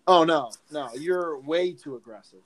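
A man's voice: a little high, measured pace, low-quality recording. A man in his twenties co-hosting a casual sports podcast, laid-back and musing. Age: 20s. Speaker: podcast co-host.

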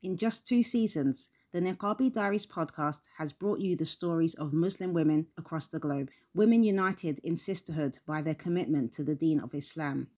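A woman's voice: deep voice, moderate pace, few pauses, no room sound, highs cut off. A woman hosting a podcast, introducing the show and interviewing a guest in a conversational way.

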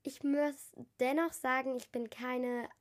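A woman's voice: high voice, little pitch movement, takes it slowly. A teenage girl hosting her own podcast, chatting earnestly to her listeners while stuffed up with a cold. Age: teens. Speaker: podcast host. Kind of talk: casual solo podcast talk.

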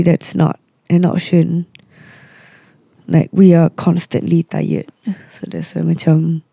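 A touring musician with a Malaysian English accent, hoarse and unwell, chatting casually in a rambling, halting way. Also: medium pitch, warm tone, no room sound. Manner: rambling, halting, with many pauses and restarts. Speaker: touring musician and podcast co-host. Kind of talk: casual chat.

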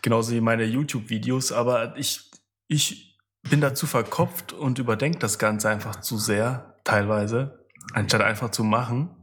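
A man hosting a relaxed podcast conversation, chatting loosely with friends. He talks in a mid-range voice, restarting sentences and laughing along. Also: medium pitch, moderate pace, slight background noise. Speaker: podcast host. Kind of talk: casual chat.

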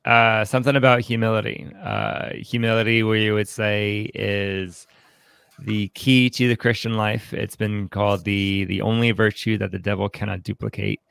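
A man co-hosting a podcast in a casual, reflective book discussion, haltingly repeating his words as he thinks. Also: on the low side, level tone, creaky, fairly bright tone, smooth, no room sound. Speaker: podcast co-host.

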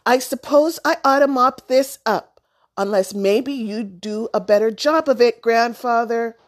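A woman voicing a talkative young boy in a children's storybook, eager, dramatic and wheedling, often loud and exclamatory. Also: high voice, husky, voice pushed up, exaggerated voice.

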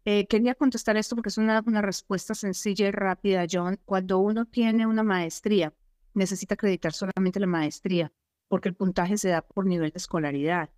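A woman's voice: mid-range voice, fairly even intonation, brisk, darkish tone, no room sound, clean studio sound. Emphatic woman explaining immigration advice. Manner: explanatory, emphatic, asks rhetorical questions. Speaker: immigration consultant and co-host. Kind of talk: advisory talk show chat.

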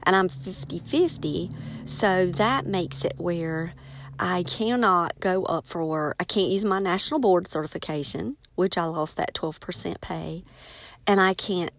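A woman's voice: lowish voice, a little slow, a bit bright. A middle-aged American woman hosting a casual solo podcast, chatting in a folksy storytelling way straight to her listeners. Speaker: podcast host, teacher and writer.

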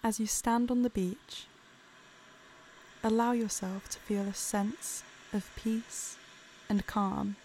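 A woman narrating a guided meditation in a calm, soothing, unhurried way. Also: mid-range voice, a little hiss or hum.

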